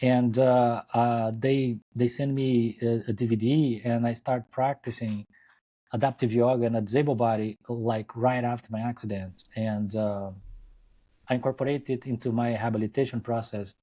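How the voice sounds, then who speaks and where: A middle-aged man with a Brazilian Portuguese accent tells his story as an interview guest. His voice is warm and mellow at a middle pitch. He talks unhurriedly with many fillers and restarts, heard over a video call. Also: fairly even intonation, moderate pace. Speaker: guest yoga teacher. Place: home, joining remotely.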